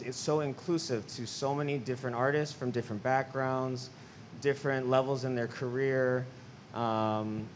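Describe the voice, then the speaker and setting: A man's voice: mid-range voice, a little hiss or hum. Sincere man from Los Angeles. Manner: sincere, thoughtful. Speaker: artist, panelist. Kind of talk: panel talk. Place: large gallery, indoors. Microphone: handheld mic.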